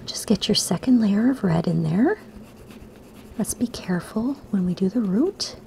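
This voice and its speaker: A woman, slightly hoarse today, gently talking kids through a drawing lesson in a soft, half-whispered voice. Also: mid-range voice, expressive, measured pace, slight background noise. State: raspy, slightly sore throat. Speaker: art teacher for kids. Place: home room by a window.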